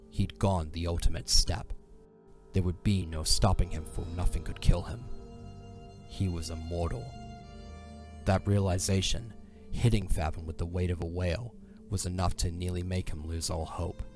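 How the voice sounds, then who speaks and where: A man narrating a fantasy audio drama in a solemn, measured, dramatic storytelling style. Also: low voice, level tone, music in the background.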